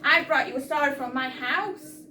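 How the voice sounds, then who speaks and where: A woman reading a story aloud to young children in an animated, expressive storytime style. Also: high-pitched, moderate pace. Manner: animated, addressing young listeners. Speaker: children's storytime reader, teacher. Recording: roomy, distant mic, noisy background.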